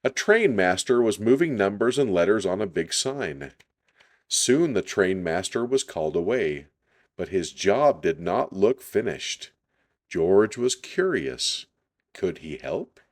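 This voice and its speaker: A man reading a children's story aloud in an unhurried, deliberate storytelling style. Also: sits low, big pitch swings.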